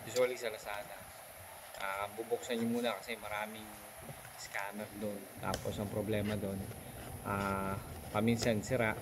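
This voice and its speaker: A man with a Filipino accent giving a casual, informal unboxing and how-to vlog as a motorcycle gear reviewer, often repeating his phrases. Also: medium pitch. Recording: clearly noisy recording with vehicle ambience.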